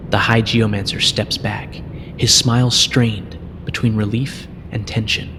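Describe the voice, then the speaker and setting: A man reading a fantasy story as scripted dramatic narration, in a solemn, measured storytelling manner. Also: on the low side, background noise. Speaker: narrator reading fan fiction. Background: music.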